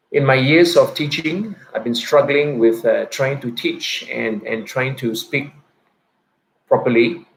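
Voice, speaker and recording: Guy in his 30s with a stutter, halting, repeats words, quiet introvert talking to camera. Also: medium pitch, low-quality recording. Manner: soft-spoken and introverted. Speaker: teacher. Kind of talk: personal reflective talk.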